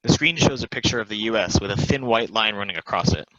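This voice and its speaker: A man's voice: on the low side, fast talker, sharp tone, no echo, muffled recording. A man reading out stage directions as scripted narration for a play table read.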